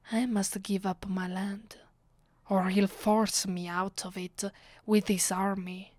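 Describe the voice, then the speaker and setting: Woman acting a soft, slow, Italian-accented vampire noblewoman. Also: medium pitch, no echo. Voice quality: soft, breathy, often dropping to a whisper. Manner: slow, dramatic, imperious. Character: aristocratic female vampire, centuries old, bitter and possessive. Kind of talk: ASMR roleplay, intimate scripted acting.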